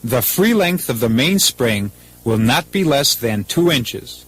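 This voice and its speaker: An American man delivers scripted instructional narration in the manner of a 1980s military training film. His voice sits at a middle pitch. He speaks formally and methodically, stating each step in an impersonal way. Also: sing-song, slow, a bit bright, breathy edge.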